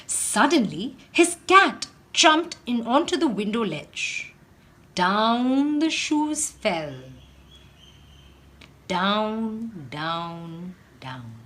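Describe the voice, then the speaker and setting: A woman's voice: a little high, very animated, extremely slow, fairly bright tone. A woman storyteller reading a children's storybook aloud in a theatrical manner, acting out the sighs and exclamations.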